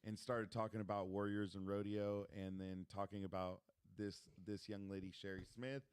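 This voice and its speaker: A man co-hosting a podcast in casual chat, loud and joking. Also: lowish voice, little pitch movement, normal speed, clear, resonant tone, dry recording, studio quality. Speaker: podcast co-host.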